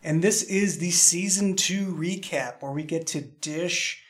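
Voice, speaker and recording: A man's voice: on the high side, a little slow. A man hosting a conversational podcast roundtable as the show's creator. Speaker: host, show creator.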